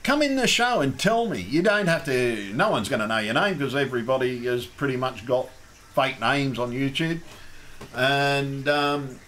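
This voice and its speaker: A man's voice: medium pitch, animated, normal speed, fairly bright tone, a little hiss or hum. Rambling Australian man hosting a call-in. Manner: rambling, chatty, frequent ums and restarts. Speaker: call-in show host. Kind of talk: casual call-in chat.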